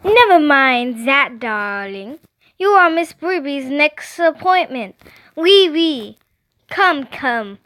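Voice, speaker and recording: A woman's voice: high-pitched, big pitch swings, slow, a bit bright, heavily acted. A woman voicing a bossy six-year-old girl who plays a gushing salon stylist in an exaggerated fake French accent, audiobook style. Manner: gushing, theatrical, commanding. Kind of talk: audiobook character voice.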